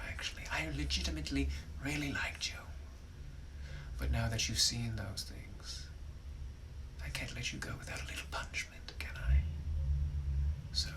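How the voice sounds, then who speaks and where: A young man putting on a British accent to play a suave, menacing nineteenth-century gentleman, talking smoothly, often half-whispered, and calling everyone darling. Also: medium pitch, background noise, pitched below the performer's own voice, natural voice. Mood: sinister, hushed. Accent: British, put-on. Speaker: sketch creator. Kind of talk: POV comedy sketches.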